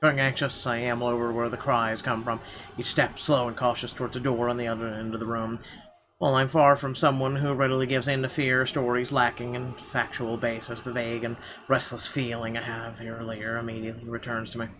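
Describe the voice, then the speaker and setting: A man with a medium-pitched voice narrates a visual novel for viewers. The delivery is expressive and deliberate, like dramatic storytelling, with an occasional stumble. Noticeable game ambience and noise sit behind the voice. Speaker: gaming video host reading aloud. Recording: clear background noise behind voice.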